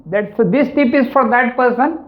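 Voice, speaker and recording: A man's voice: very high voice, moderate pace. A man with an Indian English accent, teaching exam tips and often tagging lines with "right?" and "actually". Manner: repetitive, keeps adding "right?" and "actually". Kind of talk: spoken lesson on exam tips. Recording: tinny, phone-like sound.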